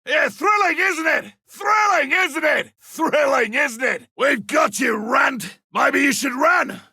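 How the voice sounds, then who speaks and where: A man playing a boastful, grizzled veteran monster hunter in a video game, with an Australian accent and a rough, gravelly voice, making forceful short calls. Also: very high voice, takes it slowly, no room sound, studio quality, heavily acted. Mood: taunting, boastful. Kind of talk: video game combat and tracking barks.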